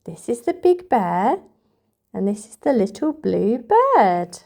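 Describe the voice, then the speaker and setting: A woman reading a picture book aloud to toddlers in an expressive, sing-song storytelling manner. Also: a little high, takes it slowly, vocal fry. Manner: expressive, sing-song, addressing young children.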